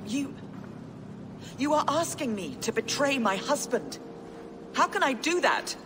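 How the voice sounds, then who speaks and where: A woman's voice: high-pitched, sing-song, unhurried, in a large hall, background noise. An actress playing a proud, captive queen who is loyal to her husband and swings between defiance and desperate pleading. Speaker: game character.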